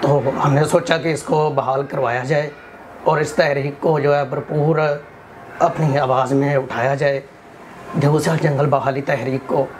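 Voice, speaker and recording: A Pakistani man with a sore throat speaks in earnest, appealing tones as a studio guest, a touch higher than most men. His answers run on with habitual fillers. A faint hiss sits under the recording. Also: steady tone, measured pace. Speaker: forest restoration activist, interview guest. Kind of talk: formal interview answers.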